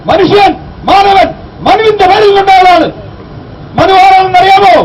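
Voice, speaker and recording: A man delivers a declamatory public speech in an extremely high pitch. He exhorts the crowd with rhetorical questions. The mic sounds distant, with faint outdoor street noise behind him. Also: steady tone, normal speed, dull audio. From Kerala, India. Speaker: activist, public speaker. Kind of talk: impassioned public address.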